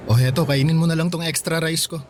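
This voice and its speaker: An actor playing a young college student, a caring, easygoing boyfriend, speaking gently and casually in radio drama style. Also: male voice, fairly high pitch, fairly even intonation, fast talker, slight background noise, lightly acted.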